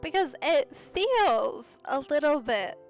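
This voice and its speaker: A woman with a high voice reacts casually to a game she is playing. She muses slowly and hesitantly, trailing off. The mic is close and a little dull, with soft game music behind her. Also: sing-song. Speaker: game streamer. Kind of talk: casual let's play reactions.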